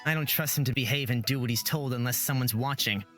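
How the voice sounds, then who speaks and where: A man's voice: mid-range voice, fast talker, pushed and bright, faint music behind, lightly acted. A man playing an earnest, apologetic and stubbornly caring young hero in a fantasy visual novel. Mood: concerned.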